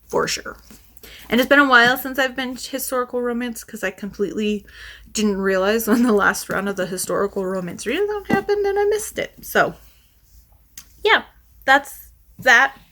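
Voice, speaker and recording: A woman's voice: mid-range voice, pushed and bright. A woman talking as a book vlogger in a casual, chatty way, meandering and interrupting herself as she goes. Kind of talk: casual chat to camera, rambling.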